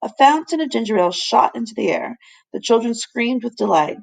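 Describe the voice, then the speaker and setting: A woman with a husky, creaky voice reading a story aloud as a teacher would to a class. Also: a little high, animated, fairly quick, darkish tone, low-quality recording. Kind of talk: read-aloud storybook narration.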